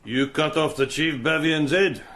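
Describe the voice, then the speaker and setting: A plain-spoken old Boer hunter answers in short, deferential phrases. His voice sits fairly high with a bright tone, like a minor figure in a radio play. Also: steady tone, a little slow. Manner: terse, submissive replies.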